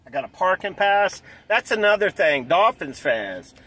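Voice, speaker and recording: A man in his forties vlogging from inside a car, talking excitedly in a casual, repetitive way and clearing his throat often. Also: high-pitched, normal speed, faint music behind. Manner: hyped, repeats himself, clears throat often. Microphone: dashboard camera close up.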